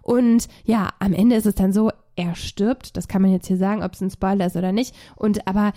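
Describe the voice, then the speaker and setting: A woman's voice: medium pitch, steady tone, fairly quick, dark tone. A woman who has a cold, casually reviewing a film as a podcast co-host, rambling with many fillers.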